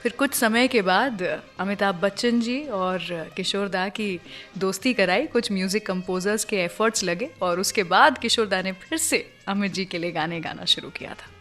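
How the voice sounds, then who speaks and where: A woman's voice: mid-range voice, moderate pace, a bit bright, soft background music. A woman presenting a radio show in a conversational storytelling style, putting teasing questions straight to her listeners. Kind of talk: conversational radio show presenting, telling film music trivia.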